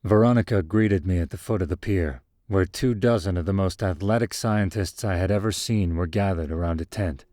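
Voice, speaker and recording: A man's voice: sits low, fairly quick, hardly any pauses, dark tone, dry recording, clean studio sound. A man reading scripted first-person fiction as the narrator of a short story.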